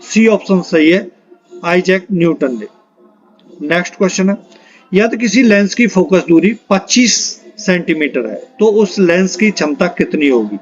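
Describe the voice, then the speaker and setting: A man's voice: high-pitched, expressive, measured pace, dull audio. A man teaching an exam-prep lesson, reading quiz questions and options aloud and announcing the answers in an instructional way.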